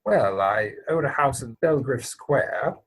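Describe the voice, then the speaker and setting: A man acts out an earnest young gentleman in a stage comedy. His voice is slightly rough and moves up and down a lot, with formal, hesitant phrasing and an anxious, deferential temper. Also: medium pitch, lively intonation, takes it slowly, exaggerated voice. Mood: nervous, deferential. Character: earnest, flustered young English gentleman suitor, polite and anxious. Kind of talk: theatrical comedy dramatic reading.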